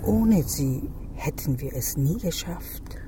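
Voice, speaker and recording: An actress playing a quiet, resigned immigrant mother of a grown son, evasive, in a radio crime drama. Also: low-pitched, animated, takes it slowly, background noise. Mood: subdued, defensive.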